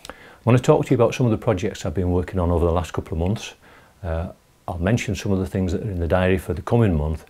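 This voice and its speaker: Low, mellow man. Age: middle-aged. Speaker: video maker presenting a newsletter. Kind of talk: informal talk to camera about recent projects.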